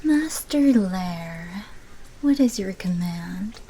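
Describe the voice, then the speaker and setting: A female vampire mafia lieutenant acted by a woman speaks slowly in a mellow, mid-pitched voice. She is deferential to her boss but wry underneath, in a fantasy audio roleplay with some noise behind her. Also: expressive, full character voice.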